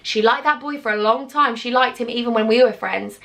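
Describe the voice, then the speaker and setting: A woman's voice: fairly high pitch, brisk, vocal fry, bright, forceful tone. A young British woman telling a personal storytime on her vlog, chatty and confiding with sarcastic asides. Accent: British English. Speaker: YouTuber.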